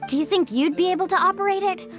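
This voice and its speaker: A woman's voice: extremely high pitch, fast, a bit bright, soft background music. A woman playing a brave, easygoing young woman adventurer in a fantasy video game, confident, reassuring and upbeat. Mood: composed, instructive. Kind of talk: animated video game voice acting.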